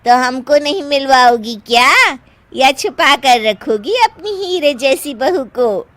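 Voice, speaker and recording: A woman's voice: high-pitched, lively intonation, slow pace, fairly bright tone, dry recording, heavily acted. A woman voicing an effusive middle-aged aunty, a gossipy family friend in a cartoon story. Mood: admiring. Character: chatty, admiring middle-aged aunty friends of mother-in-law.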